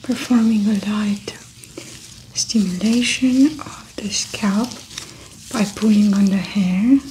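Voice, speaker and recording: A young woman whispering softly in an ASMR medical roleplay, calmly narrating a clinical exam as she works. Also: medium pitch, slow, slight background noise, poor audio. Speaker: ASMR creator playing a doctor. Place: small indoor studio set.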